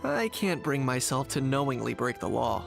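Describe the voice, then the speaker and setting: Dry, creaky-voiced young man. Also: mid-range voice, big pitch swings, moderate pace, over music. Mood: dry, calm. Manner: dry, matter-of-fact. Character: laid-back, deadpan young man, principled and dry-humoured. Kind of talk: video game character acting.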